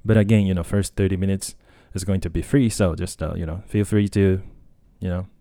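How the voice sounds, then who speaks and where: Low-voiced Japanese man, casual ramble. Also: steady tone, a little fast, mellow, no room sound, clean studio sound. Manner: rambling, hesitant, many fillers and restarts. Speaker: podcast host and language tutor. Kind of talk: casual solo talk.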